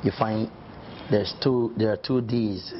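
A man's voice: lowish voice, steady tone, a little slow. A young Nigerian man giving casual interview answers, often adding "you know" as he goes. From Delta State, Nigeria. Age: young adult. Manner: frequent "you know" asides. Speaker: singer and former rapper being interviewed. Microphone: clip-on lapel mic. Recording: dull sound with light background noise.

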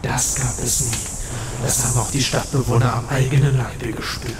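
Man doing a cold, mocking body-snatching demon, ordinary pitch, heavy hiss in the recording. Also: fairly even intonation, normal speed, close to an everyday voice. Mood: sinister. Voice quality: cold, taunting, sometimes whispering. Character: sinister demon possessing young man, mocking. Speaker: villain. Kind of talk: amateur audio drama acting. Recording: loud background noise.

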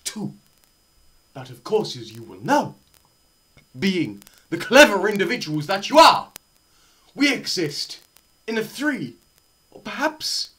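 A young man giving a dramatised science lecture, theatrical, swinging between shouts and hushed murmurs, with pauses and repetition for effect. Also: high voice, low-quality recording. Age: young adult. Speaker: presenter. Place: small bare indoor room.

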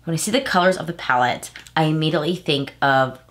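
Woman, 30s, deep for a woman, slightly nasal, chatty, self-correcting, casual tutorial. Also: fast, a bit bright. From Houston, Texas. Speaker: beauty vlogger. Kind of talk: casual makeup tutorial chat. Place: small room at home, indoors.

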